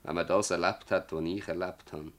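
Old Swiss German farmer, low voice, plain-spoken, bitter, stubborn, emphatic, rambling, radio play. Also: male voice, steady tone, normal speed, fairly bright tone. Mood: agitated, rueful. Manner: rambling, emphatic, rhetorical repetition. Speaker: radio drama actor.

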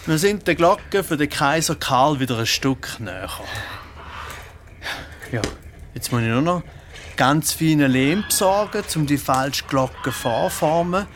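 A man's voice: fairly high pitch, animated, unhurried, a bit bright, slight background noise. A man with a Swiss accent playing a good-natured, thoughtful monk craftsman who thinks aloud in a children's radio play. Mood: pleased, friendly.

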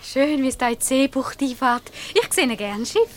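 High-voiced, teasing Swiss woman. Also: animated, normal speed, a little hiss or hum. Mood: admiring. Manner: playful, mock-naive. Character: teasing, good-humoured woman playing innocent. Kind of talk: radio comedy play acting.

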